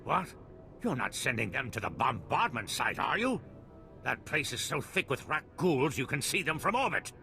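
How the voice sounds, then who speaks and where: Sharp, creaky, argumentative alien scientist. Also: male voice, medium pitch, very animated, normal speed, faint music behind, exaggerated voice. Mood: scornful. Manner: emphatic, argumentative. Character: cynical, argumentative male alien scientist, a Sullustan. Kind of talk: video game voice acting.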